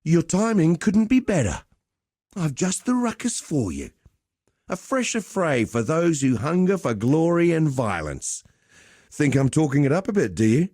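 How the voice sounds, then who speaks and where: A man's voice: on the high side, sing-song, a little slow, darkish tone, no echo, clean studio sound. A man playing a cocky, easygoing gladiator master in a video game, teasing with wry, conversational swagger. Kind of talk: video game character dialogue.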